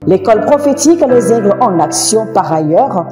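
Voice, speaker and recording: A woman reading a promotional announcement, reading out the details in a measured way. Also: medium pitch, lively intonation, over music. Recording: echoey room.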